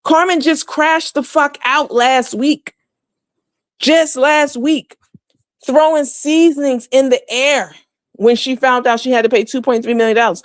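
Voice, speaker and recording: A woman's voice: high-pitched, no room sound. Woman doing gossip show host talk, blunt n slangy, swears, really dry close sound. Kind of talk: casual gossip call-in talk.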